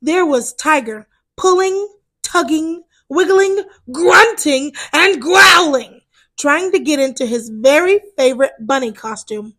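A woman reading a children's picture book aloud as storyteller, expressive and deliberate. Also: sits high.

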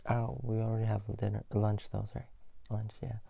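A man playing a playful, clingy young boyfriend in an intimate ASMR roleplay, speaking softly and teasingly with a gravelly, sometimes breathy voice. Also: on the low side, little pitch movement, brisk, no echo, exaggerated voice. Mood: soft affectionate. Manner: teasing, murmured, affectionate.

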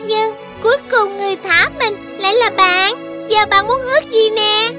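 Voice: very high-pitched, steady tone, moderate pace. An actor voicing a small magical wish-granting creature in a Vietnamese children's cartoon, childlike and emphatic. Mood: eager. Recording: music behind.

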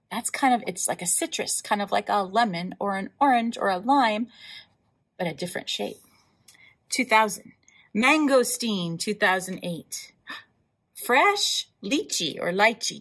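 A woman hosting a library storytime, reading a picture book aloud in an animated way, with emphatic drawn-out words and asides to young listeners. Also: mid-range voice. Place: indoors, in front of a wall with floral decals.

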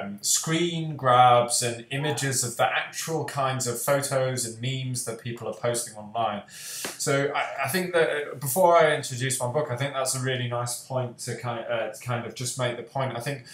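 A man's voice: mid-range voice, moderate pace, a bit bright. A young man hosting an online panel on a video call, speaking earnestly with many fillers. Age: young adult. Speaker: host and author. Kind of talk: panel discussion. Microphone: headset on video call. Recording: roomy echo.

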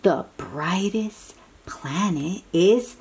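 A woman reading a picture book aloud to young children, expressive and full of exclamations, drawing out words and dropping into hushed asides as she asks simple questions. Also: fairly low pitch, extremely slow, slightly rough. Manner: talks directly to young listeners with simple questions. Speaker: children's read-aloud host.

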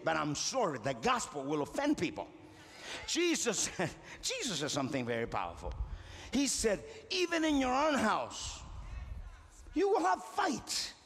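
A man's voice: high voice, a bit bright. A middle-aged man, preaching a fiery sermon with call-and-response in a large church auditorium. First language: Spanish. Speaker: pastor. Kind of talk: impassioned sermon. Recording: live church hall reverb with music. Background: congregation and faint music.

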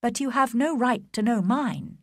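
A woman's voice: fairly high pitch, expressive, normal speed, dry recording, clean studio sound, voice pushed up. A woman voicing a cool, composed young lady.

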